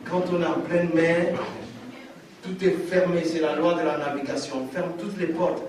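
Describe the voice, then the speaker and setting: High-voiced man preaching in an echoey hall. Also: steady tone, normal speed, far from the mic, background noise. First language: African language. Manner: emphatic, repeats lines for effect. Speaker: testimony speaker. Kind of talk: preached testimony.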